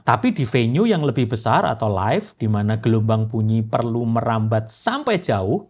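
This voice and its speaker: A man's voice: mid-range voice, a little mellow. A man presenting a scripted educational explainer, instructing viewers directly. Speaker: presenter of an educational video channel.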